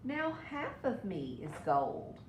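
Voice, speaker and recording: A woman voicing an excitable, proud young male leaf in a children's storybook, exclaiming earnestly. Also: slow. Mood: excited, proud. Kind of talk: children's storybook read aloud. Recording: echoey room with background rumble.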